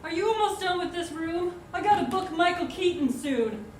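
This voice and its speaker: A woman's voice: very high voice, lively intonation, moderate pace, very noisy. A woman improvising a stressed, overworked woman at a police station, talking in a frazzled, rambling way. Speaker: improv performer. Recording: echoey stage from a distance, loud audience.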